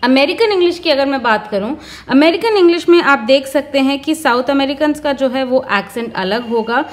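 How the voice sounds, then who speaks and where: Woman, high voice, earnest instructor going through interview advice point by point. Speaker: career advice vlogger. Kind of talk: explanatory advice talk.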